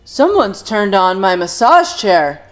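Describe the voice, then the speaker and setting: A woman reading a storybook and voicing a loud, grumpy father who bellows and demands answers. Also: mid-range voice, big pitch swings, takes it slowly, soft background music. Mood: indignant. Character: loud, grumpy father bear-like Mister Chan.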